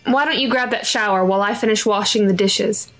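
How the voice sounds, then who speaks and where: A woman's voice: mid-range voice, a little fast, fairly bright tone, soft background music, natural voice. An actress playing a protective, fretting mother of a schoolboy in an audio drama, with a nagging, anxious manner. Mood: affectionate.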